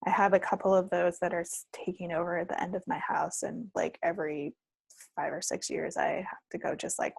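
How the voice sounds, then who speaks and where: A woman chats casually as a podcast co-host. Her voice is rough-edged and husky, dropping into a gravelly creak, and recorded very dry. Her manner is playful and wry. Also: fairly low pitch, steady tone, brisk, no echo. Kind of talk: casual chat.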